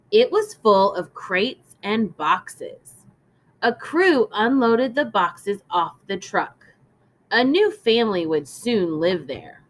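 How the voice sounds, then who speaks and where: Woman, teacher, children's read-aloud lesson, unhurried pace, animated intonation, instructive, deliberate phrasing. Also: on the high side. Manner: instructive, deliberate, prompting listeners with questions. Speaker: teacher reading a story aloud.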